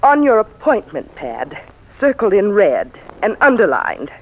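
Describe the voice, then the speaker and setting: An actress playing a prim, exasperated secretary with emphatic, scolding delivery in 1940s radio style. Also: female voice, medium pitch, very animated, measured pace. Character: exasperated, prim office secretary, Miss Jones. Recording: thin phone-like vintage radio recording.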